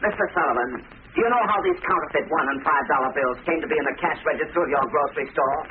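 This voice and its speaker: Man as fast, high-voiced stern radio prosecutor. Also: recorded from a distance, natural voice. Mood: stern, pressing. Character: stern, accusing courtroom prosecutor, indignant and insistent. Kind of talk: 1940s radio drama acting. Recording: tinny, hissy old radio recording.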